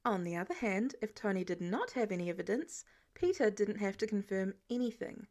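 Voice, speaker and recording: A woman's voice: medium pitch, lively intonation, fairly quick, a little mellow. A woman reading scripted fiction narration as an audiobook narrator. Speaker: podfic narrator.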